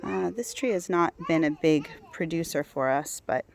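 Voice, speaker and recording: A woman's voice: low voice, moderate pace, gravelly, a little hiss or hum. A woman guiding a casual outdoor plant walk, talking in a chatty way with many fillers and restarts, recorded on a handheld phone in a garden. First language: not Hebrew. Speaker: herbal plant walk guide.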